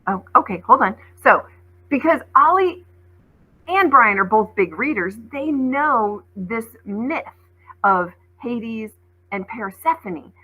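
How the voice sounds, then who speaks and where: A woman with a bright, forward voice that has a husky edge. She reads like a schoolteacher sharing a storybook, expressive and clear in her intent, explaining things plainly for young listeners. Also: on the high side, slow, poor audio. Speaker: schoolteacher reading aloud. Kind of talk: expressive storybook read-aloud with asides.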